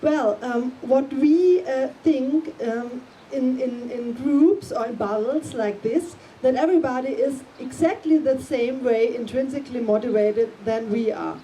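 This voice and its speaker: German woman on a panel, mellow voice a bit high, picked up from a distance with faint hum. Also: a little slow, few pauses. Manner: searching for words, frequent ums. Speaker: panel guest. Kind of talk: casual panel talk. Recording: distant mic, faint room noise.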